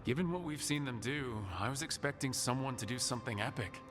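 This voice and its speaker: A man's voice: mid-range voice, fairly quick, natural voice. Direct, level-headed adventurer played by a man. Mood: matter-of-fact. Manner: casual, direct. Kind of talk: naturalistic video game dialogue. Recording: music behind the voice.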